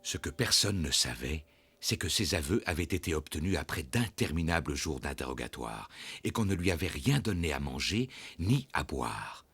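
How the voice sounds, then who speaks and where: An actor as the grave storyteller of an animated film, reading scripted narration in a formal, solemn manner. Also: male voice, extremely low pitch, normal speed, husky, full character voice. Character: grave male storyteller of a dark tale.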